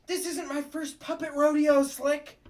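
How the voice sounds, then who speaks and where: A woman's voice: high voice, little pitch movement, measured pace, a little mellow, far from the mic. A woman voicing a nervous, flustered male puppet district attorney in a comedic table read, sometimes stammering. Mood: blustering.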